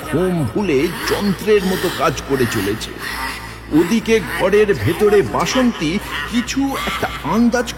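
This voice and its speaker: A man narrating a horror audio story in a slow, dramatic manner. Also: sits high. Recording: long reverb with faint music.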